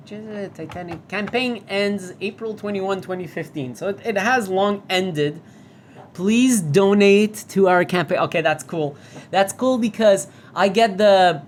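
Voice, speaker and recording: A woman's voice: fairly low pitch, a little hiss or hum. A woman giving casual, profane commentary while playing a VR demo, often reading in-game signs aloud. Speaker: VR game reviewer.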